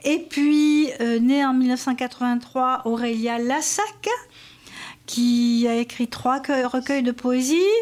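A woman presenting a radio segment, working through a list of writers in a measured way with frequent hesitations. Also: high-pitched, darkish tone. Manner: measured, listing names with hesitations.

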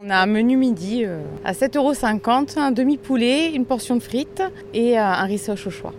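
A woman's voice: fairly high pitch, normal speed, a little mellow, slight background noise. A woman snack bar owner giving plain, matter-of-fact answers in a radio interview.

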